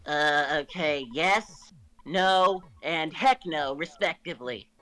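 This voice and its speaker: A man's voice: fairly high pitch, slow pace, pushed and bright, faint music behind. A man voicing a smug, bratty young turtle prince who hosts a web show, in a theatrical, pompous, emphatic cartoon manner. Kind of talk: cartoon voice acting in a fan story reading.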